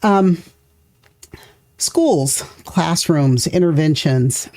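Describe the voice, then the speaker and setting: A middle-aged woman hosting a podcast interview, talking in a thoughtful, measured way and laughing easily. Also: low voice, animated, darkish tone. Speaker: podcast host. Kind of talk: conversational interview.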